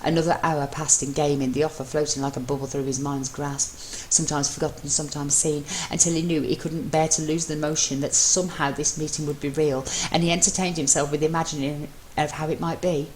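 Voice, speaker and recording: A woman's voice: deep voice, little pitch movement, a little fast, few pauses, darkish tone. A woman reading fan fiction aloud as dramatic scripted narration, in an amateur recording. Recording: noisy amateur home recording.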